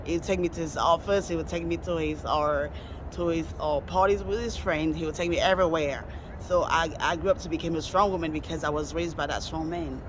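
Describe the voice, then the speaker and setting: Halting woman on a noisy street. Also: low voice, steady tone. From Cameroon. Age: 40s. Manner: hesitant, halting, many fillers. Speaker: interviewee. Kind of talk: street interview answers. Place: city street outdoors. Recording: outdoor street with traffic noise.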